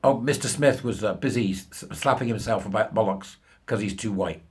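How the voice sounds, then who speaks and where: A middle-aged British man giving sarcastic, incredulous, colloquial commentary on a news article in a husky voice. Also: on the low side, normal speed. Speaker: commentary show host.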